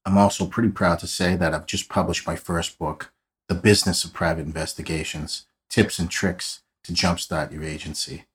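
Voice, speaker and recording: A man's voice: sits low, flat delivery, normal speed. A man in his forties with a Boston accent, hosting a true crime podcast in a dry, matter-of-fact way with wry asides. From South Boston, USA. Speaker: podcast host, private investigator. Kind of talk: scripted podcast narration.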